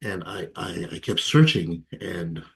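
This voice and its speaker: Deep-voiced man from Ghana, reflective. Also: lively intonation, measured pace. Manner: reflective, hesitant, many fillers and soft laughs. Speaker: interview guest. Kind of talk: candid personal testimony.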